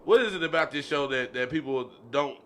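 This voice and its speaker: A man's voice: a little high, expressive, a little fast, pushed and bright, slight background noise. An American man hosting a casual group podcast chat, colloquial and repeating himself as he goes. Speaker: podcast host.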